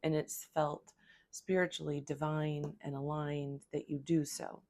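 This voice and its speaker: Hoarse low-voiced woman reading tarot. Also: a little mellow. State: hoarse from a cold. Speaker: tarot reader.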